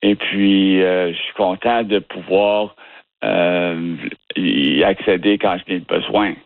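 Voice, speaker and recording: A man with a fairly low voice answers questions, often pausing and starting his phrases over. He comes through a narrow, dry phone line with no room sound behind him. He is from the Ottawa region. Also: steady tone, creaky, no echo. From Ottawa region, Canada. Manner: hesitant, many fillers and restarts. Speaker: interviewee. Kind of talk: casual interview answers.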